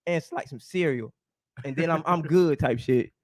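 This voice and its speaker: A man's voice: on the high side, normal speed. A man, hosting a casual podcast chat and telling stories in a laid-back, slangy way. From Dallas, Texas. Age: 40s. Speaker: show host.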